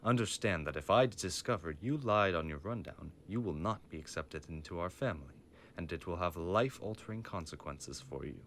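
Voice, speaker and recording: A man's voice: low-pitched, animated, normal speed, hardly any pauses, fairly bright tone, slight background noise. A man playing a stern, menacing mafia boss father with a formal, commanding manner in an audio roleplay. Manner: formal, commanding, coldly threatening.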